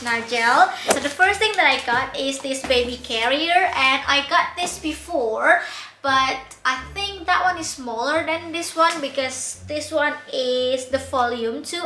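A woman's voice: high-pitched, sharp tone, roomy sound, slight background noise. A young woman vlogger unboxing baby products, bubbly and giggly as she gushes over each one. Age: young adult. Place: bright indoor room.

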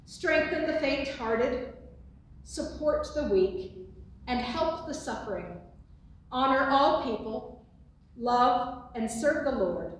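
An older woman pastor with a booming voice giving church announcements and a benediction, recorded by a distant phone in a large sanctuary. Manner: booming, expressive, gesturing widely. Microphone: phone on a tripod from afar. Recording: echoey sanctuary from far off.

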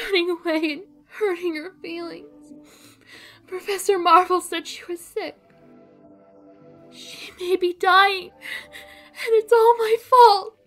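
A woman's voice: extremely high pitch, fairly bright tone, exaggerated voice. A woman voicing an earnest, innocent, emotional Kansas farm girl in a theatrical classic-film dub. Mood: tearful, remorseful. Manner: earnest, girlish, pleading.

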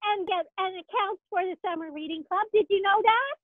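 Thin, phone-like, dry audio carries a sweet young bear puppet. The voice is extremely high and childlike, gently encouraging in the manner of a children's puppet show. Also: female voice, brisk, no echo. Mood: helpful, keen.

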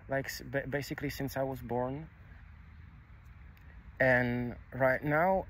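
A young man whose first language is Czech, speaking outdoors into a phone in a halting, confessional way, restarting words and swearing casually. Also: mid-range voice, a little hiss or hum, low-quality recording. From Czech Republic. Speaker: vlogger. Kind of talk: personal confessional monologue. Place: outdoors on a grassy hilltop. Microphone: phone camera held low.

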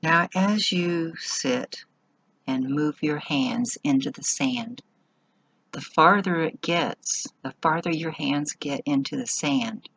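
Female meditation guide, slow and husky, measured instructional phrasing with generous pauses. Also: sits low, a bit bright.

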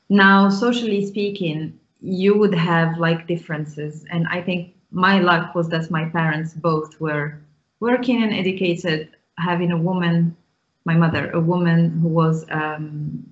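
A woman from Morocco, in her thirties, is a guest on a video call and talks through her thoughts slowly. She pauses on ums and restarts her sentences. Her voice sits a little low, and the call audio is muffled. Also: steady tone. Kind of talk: casual interview talk. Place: small room indoors. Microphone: video call with earphones.

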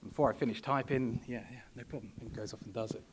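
A young man speaking from a podium at a conference panel, measured and full of ums and you-knows. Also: mid-range voice, fairly even intonation, slight background noise. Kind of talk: presentation at a podium. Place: large conference hall. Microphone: podium microphone.

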